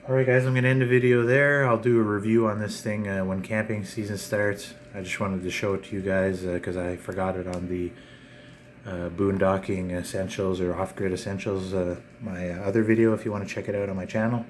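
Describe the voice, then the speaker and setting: A man hosting a casual, unscripted unboxing vlog, talking in a halting way with frequent pauses. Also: low-pitched, flat delivery. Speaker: video channel host. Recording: clear background noise.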